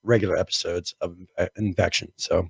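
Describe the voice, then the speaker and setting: A man co-hosting a gaming podcast, hoarse from a cold, explaining things in a steady casual way. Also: sits low, a little fast, no echo. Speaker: podcast co-host.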